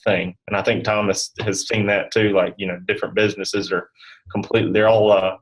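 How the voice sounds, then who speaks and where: A man talks casually as a guest on an interview show. His voice sits a little lower than average. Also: little pitch movement, a little fast, breathy edge, poor audio. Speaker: guest insurance agent.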